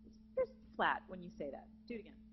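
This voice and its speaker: A woman with a naturally low speaking voice teaching a live vocal jazz masterclass to students, lively and encouraging, in a conference hall. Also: fast. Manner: lively, encouraging, demonstrates with sung examples. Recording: dull lo-fi live recording with hum.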